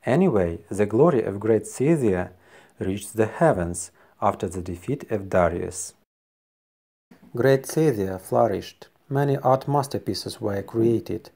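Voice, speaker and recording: A Ukrainian man with a Ukrainian accent, speaking English as a second language and narrating a history video carefully and deliberately. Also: on the low side, mellow. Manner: careful, deliberate non-native delivery.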